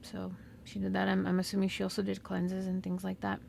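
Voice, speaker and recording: A woman with a light Southern California accent, whose first language is Spanish, muses aloud without a script. Her voice carries heavy vocal fry and stays fairly level in pitch. A faint hiss sits under the recording. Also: completely flat delivery, darkish tone. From Mexican-American. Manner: slow, reflective, with pauses and fillers. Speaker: podcast host, therapist. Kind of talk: unscripted personal monologue.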